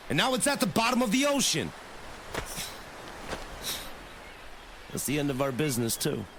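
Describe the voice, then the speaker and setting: Blunt, animated man outdoors played by an actor. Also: a little high. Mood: distressed. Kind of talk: audio drama acting. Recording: noisy outdoor ambience.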